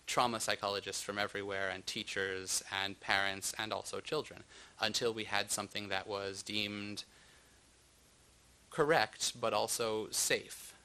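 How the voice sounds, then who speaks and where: A man in his thirties speaks to an audience in a low, smooth voice with a bright edge. He talks earnestly but haltingly, filling pauses with ums. His delivery stays flat in pitch throughout. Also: low-quality recording. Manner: hesitant, many ums, earnest. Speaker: author of a children's book on war. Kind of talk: formal talk to an audience.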